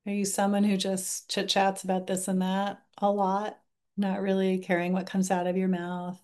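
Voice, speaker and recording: A woman teaching a mindfulness class over a video call, leading a guided reflection in a gentle, unhurried way with frequent pauses. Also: medium pitch, completely flat delivery, clean tone. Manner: gentle, unhurried, pausing often.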